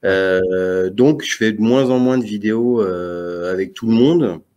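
A man in his forties talks as a guest on a video call from his room at home. He speaks hesitantly, full of fillers and restarts, slipping in jokes. His voice sits fairly low. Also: a little slow, darkish tone, lo-fi sound. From Paris region, France. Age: 40s. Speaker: YouTube channel guest. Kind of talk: casual live video chat. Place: indoor room with arcade cabinet.

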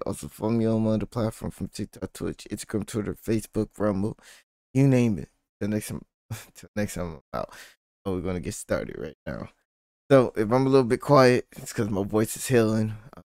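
Hoarse young male game streamer, low-ish, rambling and laid-back, soft music under. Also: no echo. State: hoarse, voice nearly gone. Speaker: gaming channel host. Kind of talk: casual gameplay commentary.

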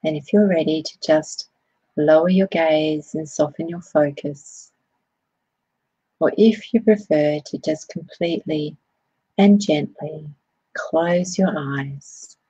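A woman with a low, very breathy and husky voice guides a body scan meditation. She speaks slowly and soothingly, in gentle phrases. The tone is soft and mellow. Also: no room sound, lo-fi sound.

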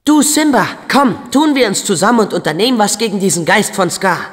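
A man playing an upbeat, brave, earnest teenage boy hero in a video game dub, with an eager, expressive, youthful delivery. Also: high-pitched, a little fast, big-room sound, exaggerated voice. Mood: encouraging.

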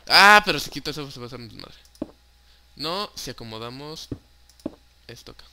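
A man's voice: mid-range voice, lively intonation, a little fast, sharp tone, slight background noise. A young man with a Mexican Spanish accent, chatting casually and joking as he narrates his own Minecraft play, swearing freely and dropping in English words. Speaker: gaming YouTuber.

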